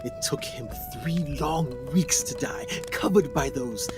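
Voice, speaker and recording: A man playing a deranged, dying explorer in a radio play, speaking in a halting, intense, pleading way. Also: on the high side, music in the background, close to an everyday voice. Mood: grave, haunted. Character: deranged, dying male explorer, tormented and desperate. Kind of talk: dramatic radio play acting.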